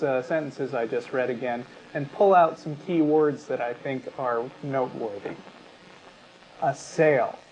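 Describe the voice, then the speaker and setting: A man co-hosting a talk show, making his points in a deliberate, rhetorical, sardonic way. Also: fairly high pitch, darkish tone, lo-fi sound. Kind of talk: opinionated commentary, reading excerpts aloud.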